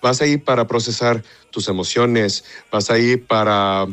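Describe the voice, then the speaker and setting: A man from Texas, half Mexican, an opera singer answering interview questions in Spanish with pauses, repeated words and stray English. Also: medium pitch, fairly even intonation, a bit bright, clear, resonant tone. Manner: hesitant, searching for Spanish words, mixes in English.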